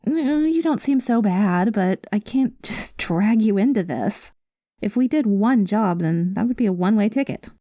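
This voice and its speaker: A woman playing a cynical, guarded space smuggler with a soft spot, talking to the listener in a wry, world-weary way in an ASMR roleplay. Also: medium pitch, animated, moderate pace, no echo, lightly acted. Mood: exasperated, guarded.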